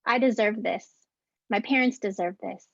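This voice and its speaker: Earnest woman giving a measured speech. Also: medium pitch, gravelly, fairly bright tone, dry recording. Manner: measured, earnest, deliberate delivery with rhythmic lists. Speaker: keynote speaker and educator. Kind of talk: prepared speech at a scholarship ceremony. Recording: dull, dry video-call audio.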